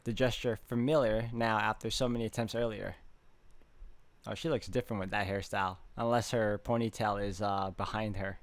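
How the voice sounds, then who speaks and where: A man playing through a visual novel and reading its narration aloud in an expressive storytelling manner. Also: lowish voice, no room sound. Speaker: video game walkthrough player. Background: game music.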